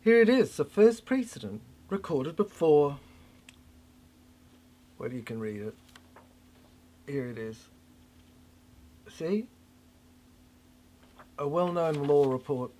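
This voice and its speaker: An older person delivering a rambling, cryptic monologue in halting fragments, repeating phrases for emphasis. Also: mid-range voice, expressive, dark tone.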